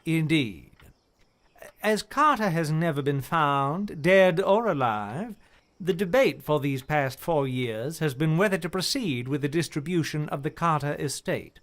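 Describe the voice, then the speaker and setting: A formal, high-pitched, animated gentleman. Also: unhurried. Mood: formal, courteous. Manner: courteous, orderly, articulate. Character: formal, exasperated gentleman chairing an estate hearing. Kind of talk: radio play acting.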